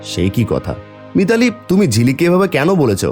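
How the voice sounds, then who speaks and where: An actor playing a kindly, concerned village father and husband, caring and expressive, in a fairy-tale cartoon. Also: medium pitch, brisk, faint music behind.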